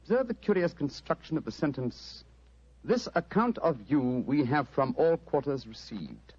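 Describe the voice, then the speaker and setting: An actor playing a keen, self-assured British detective in an old-time radio drama, speaking with crisp, instructive authority. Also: male voice, a little high, big pitch swings, normal speed, a little mellow. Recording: dull, lo-fi old radio recording.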